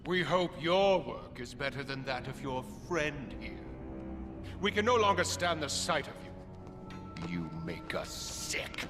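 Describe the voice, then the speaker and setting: An actor playing a haughty, cruel master who guards an oracle in a video game, imperious and scornful in a theatrical way. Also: male voice, medium pitch, big pitch swings, slow. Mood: disgusted. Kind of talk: video game villain acting. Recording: clear music behind the voice.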